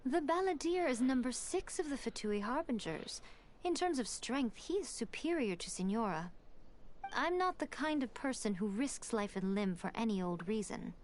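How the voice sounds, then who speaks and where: An actress voicing a sly, teasing shrine priestess in a video game, coy and lightly mocking. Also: female voice, on the high side, lively intonation, normal speed, slight background noise, lightly acted. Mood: dry. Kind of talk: game voice acting. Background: game music.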